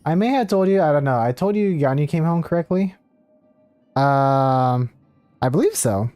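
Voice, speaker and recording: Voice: medium pitch, animated, normal speed, mellow, no room sound. A streamer chatting casually with viewers and commenting on a game in an easygoing, apologetic way. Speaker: livestreamer. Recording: game music behind the voice. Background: video game music.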